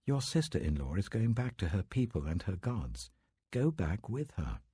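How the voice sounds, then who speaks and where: A man's voice: fairly low pitch, big pitch swings, normal speed, mellow, slightly rough, no echo, heavily acted. A British man voicing a bitter, grieving older widow in an audiobook scripture story, weary, lamenting and emphatic. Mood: urging.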